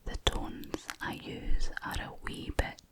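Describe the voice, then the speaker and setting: A woman gently giving a whispered ASMR language lesson as a tutor, unhurried and instructional.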